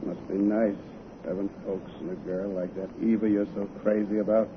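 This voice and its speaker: An actor playing a gruff, cynical American tramp in a 1930s radio drama, blunt and slangy with an American accent. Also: male voice, on the low side, level tone, normal speed, lo-fi sound, close to an everyday voice. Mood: wistful. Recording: thin, noisy old radio recording.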